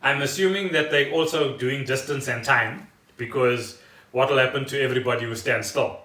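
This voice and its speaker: A man's voice: medium pitch, normal speed, bright, forceful tone. South African man, echoey distant podcast chat. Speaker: podcast co-host. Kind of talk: casual chat. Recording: echoey room, distant mic.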